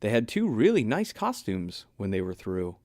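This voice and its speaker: Male narrator, lowish voice, very lively intonation, deliberate phrasing, children's read-aloud, rhyming verse. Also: dry recording, clean studio sound. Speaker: storybook narrator.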